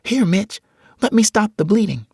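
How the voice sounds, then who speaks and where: A man's voice: high-pitched, expressive, normal speed, vocal fry, a little mellow, husky, dry recording, studio quality, pitched above the performer's own voice, exaggerated voice. A man voicing a frightened young girl. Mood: pleading. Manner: pleading, whimpering. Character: young girl, scared and tearful.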